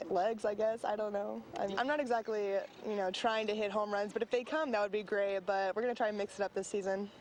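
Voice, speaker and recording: A woman's voice: mid-range voice, steady tone, quick pace, few pauses, darkish tone, a little hiss or hum. A young woman, a college athlete, answering casually in an interview. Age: young adult.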